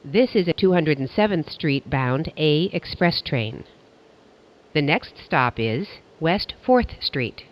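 Dull-sounding higher-voiced male transit announcer. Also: expressive, takes it slowly. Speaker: train announcer.

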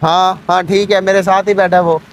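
A man's voice: high voice, flat delivery, brisk. A young Pakistani-accented man in his twenties pestering someone as a prankster, insistent and repetitive in his demands. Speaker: prankster in a street prank video. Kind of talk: staged confrontational prank chat. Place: public park, outdoors. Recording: noisy outdoor park recording, clicks.

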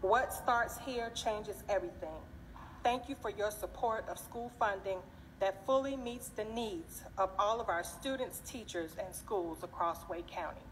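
A middle-aged woman reads a prepared statement from notes at a podium in a large meeting chamber. Her voice carries a long hall reverb, with a faint hum of the room beneath it. Her delivery is steady and formal. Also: medium pitch, measured pace. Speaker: PTA council president. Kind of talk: prepared public hearing statement. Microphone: podium microphone, filmed from the audience.

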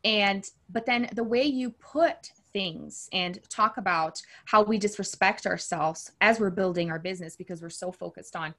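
A woman's voice: medium pitch, moderate pace, hardly any pauses, sharp tone. A woman in her thirties from Brazil, answering interview questions as a coach in an earnest, enthusiastic, conversational way. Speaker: coach and podcast host being interviewed.

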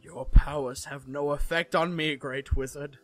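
A man voicing a bossy, theatrical teenage best friend in a visual novel, scolding loudly in mock-stern tones. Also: a little high, steady tone, measured pace, faint music behind. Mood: hammy taunting.

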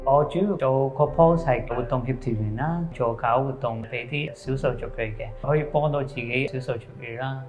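A man from Hong Kong talks in a relaxed, plain way at an average pitch, sprinkling in English words as he describes his work. Soft music plays faintly under the interview. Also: normal speed, few pauses, lo-fi sound. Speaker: activity coordinator. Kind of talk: documentary interview.

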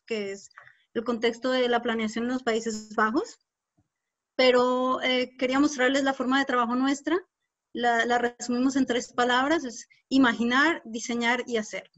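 A woman's voice: a little high, fairly bright tone. A woman with a Colombian accent giving an explanatory online presentation, hesitant and repeating words as she goes. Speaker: guest speaker, urban designer. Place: indoors, online video call. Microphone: video call microphone. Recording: dull lo-fi video call audio.

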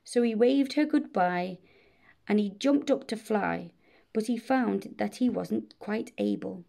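A woman reading a rhyming children's bedtime story in a measured, expressive way. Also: mid-range voice, vocal fry. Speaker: storybook reader.

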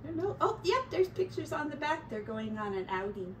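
A middle-aged woman, a teacher, narrating a children's storybook aloud in an expressive, deliberate way. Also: high voice. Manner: animated, deliberate. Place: small room at home, indoors. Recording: roomy, distant mic, faint noise.

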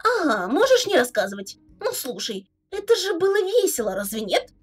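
Casting: woman as teenage alien troll girl, bossy and teasing, high and swooping, theatrical webcomic dub. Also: very animated, normal speed. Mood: bossy, playful. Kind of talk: fan dub of a webcomic chat.

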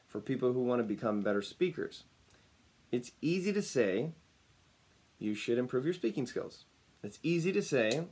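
A man in his thirties, slightly raspy as he recovers from losing his voice, talking upbeat and smiling to a webcam at home. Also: medium pitch, a little mellow. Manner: upbeat, smiling, chuckles often. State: recovering from lost voice. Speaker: personal branding speaker. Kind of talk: casual talk to camera. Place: home room, indoors. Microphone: webcam at desk distance.

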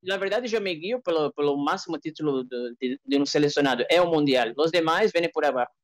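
Young Brazilian male, native Portuguese speaker, rapid argumentative delivery, very dry recording. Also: a little high, animated, dry recording. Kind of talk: informal football debate. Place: indoors, home streaming setup on a group video call.

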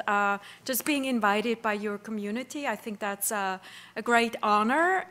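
A middle-aged woman speaks at a podium microphone in a wood-panelled lecture hall. Her voice sits a little high, and she explains in a friendly, conversational way, often restarting her phrases as she goes. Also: a bit bright. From Switzerland. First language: Swiss German. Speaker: keynote speaker. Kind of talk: conference lecture.

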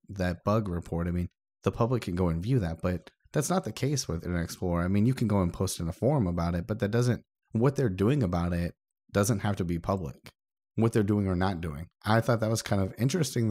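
A man's voice: on the low side, brisk, mellow. A man co-hosting a podcast, discussing tech casually in a conversational, explanatory way. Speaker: podcast co-host.

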